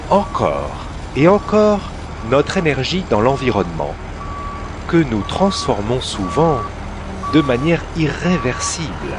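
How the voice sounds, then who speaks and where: A man narrating a scripted educational cartoon for children in a deliberate storytelling way, with dramatic pauses. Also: mid-range voice, background noise.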